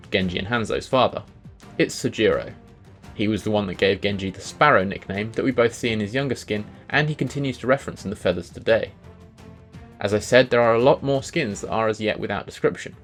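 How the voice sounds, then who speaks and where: A man hosting a video, reading scripted commentary on video game lore. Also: on the low side. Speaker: video host.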